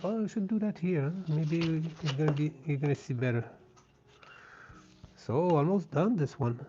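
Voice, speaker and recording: A man with a French Canadian accent, a native French speaker, chatting gently and casually as he hosts a live craft stream. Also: on the high side, normal speed, a little hiss or hum. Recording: dull, lo-fi sound.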